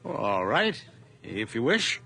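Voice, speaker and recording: A man's voice: mid-range voice, big pitch swings, slow pace, vocal fry, sharp tone, heavily acted. A man playing a kindly, lonely old widowed farmer in a 1980s radio drama, speaking in a plain, folksy, hesitant way. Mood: kindly, concerned. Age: older.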